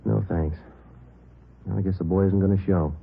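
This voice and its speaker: A man's voice: deep voice, fairly even intonation, normal speed, creaky, narrow, tinny audio, very noisy. A man acting a terse, unflappable police sergeant with an American accent in a 1950s radio drama, clipped and matter-of-fact. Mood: calm, low-key. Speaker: actor playing lead police detective and narrator. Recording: band-limited old radio recording with music.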